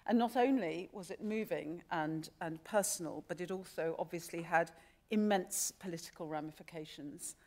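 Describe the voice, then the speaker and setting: A woman giving a formal, polite closing speech of thanks in a halting way. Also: on the low side, darkish tone. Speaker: chief executive of a society. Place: radio theatre stage.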